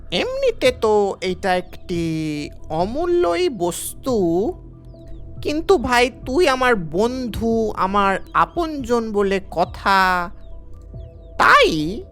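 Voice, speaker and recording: A man voicing a wily village man who pleads and feigns grave illness in a cartoon, speaking in a halting, theatrical way. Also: high-pitched, a bit bright, full character voice. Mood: pleading, sly. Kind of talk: cartoon story acting.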